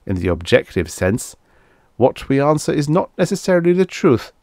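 A man voicing an ancient alien machine oracle, impartial and formal, with a measured, pedantic manner in a science fiction audiobook. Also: mid-range voice, sing-song, darkish tone, no echo, studio quality, pitched above the performer's own voice. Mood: calm, precise.

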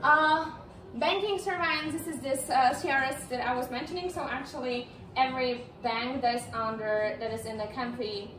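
Brief: young woman speaker, high voice, chatty with fillers, recorded from afar in a roomy hall. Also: fairly even intonation, normal speed, fairly bright tone, a little hiss or hum. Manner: chatty, laughs often, many fillers. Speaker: speaker at a meetup. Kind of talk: casual presentation talk. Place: large indoor room with projector screen. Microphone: far camera, no visible mic. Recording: echoey room audio from far off.

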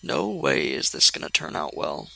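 A man's voice: extremely low pitch, wildly swooping pitch, moderate pace, creaky, sharp tone, exaggerated voice. An actor playing an irritated, sarcastic young man on the phone in a radio play, with an emphatic, argumentative way of talking. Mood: restless, sardonic.

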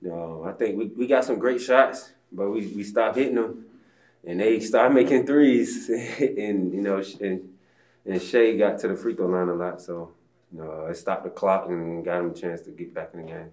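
A man's voice: deep voice, moderate pace, a little mellow. A young man, a basketball player, answering post-game questions in a laid-back, casual way with many fillers. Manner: laid-back, casual, lots of "you know". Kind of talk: post-game press conference. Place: press room backdrop, indoors.

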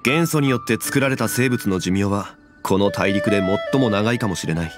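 An actor playing a calm, dignified immortal former god in a fantasy game, speaking in a composed and formal way. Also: male voice, fairly low pitch, fairly quick, music in the background, lightly acted. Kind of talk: Japanese game voice acting, dramatic dialogue.

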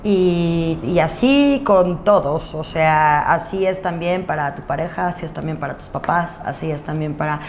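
A Mexican woman in her thirties chatting casually as an interview guest, informal, with drawn-out words and pauses. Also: sits low. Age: 30s. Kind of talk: casual chat. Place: indoor studio set at a desk. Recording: roomy sound, noticeable background noise.

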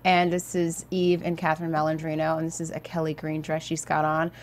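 A woman's voice: sits low, completely flat delivery, fairly quick, a little hiss or hum. A woman from Ohio hosting a fashion talk show, upbeat and bubbly, on a studio mic. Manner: upbeat, bubbly, many fillers. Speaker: host. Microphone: studio mic with headphones.

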